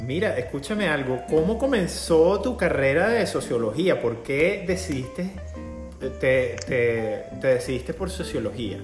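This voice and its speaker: A man's voice: fairly high pitch, expressive, measured pace. A man hosting a live casual interview, chatty, effusive and joking with his guest. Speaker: host. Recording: roomy sound with guitar music behind. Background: guitar music.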